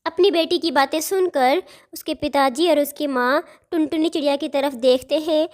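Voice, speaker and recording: A woman narrates a children's cartoon tale from a script. Her voice is high in pitch. She tells the story as a narrator, in complete read sentences. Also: moderate pace, a little mellow.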